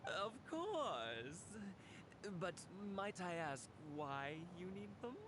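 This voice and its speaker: A man voicing a polite, deferential shopkeeper in a video game, speaking in a careful, halting way. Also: sits high, huge pitch swings, background noise, heavily acted. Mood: deferential, hesitant. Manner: courteous, halting.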